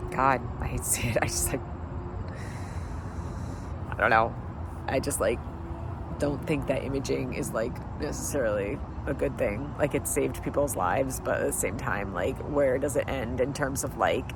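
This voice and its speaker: A man with a stuffy nose from a summer cold, talking casually in a rambling video diary, full of fillers and wry sarcasm, sitting outdoors on a curb. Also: medium pitch. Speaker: vlogger. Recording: street traffic noise. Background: passing traffic.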